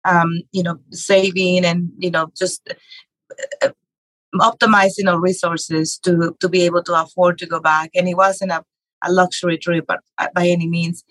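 Brief: middle-aged woman from Mexico, lowish even voice, hesitant and halting, dry close sound. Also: no echo, rough recording. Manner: hesitant, many fillers and restarts. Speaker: podcast guest, real estate investor and author. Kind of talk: conversational interview.

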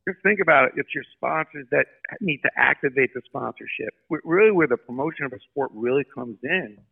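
Guest: brisk New man, quick talker, tinny phone-line sound. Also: mid-range voice, gravelly, breathy edge. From Brooklyn, New York. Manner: brisk, animated storyteller. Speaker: sports marketing guest. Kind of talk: podcast interview.

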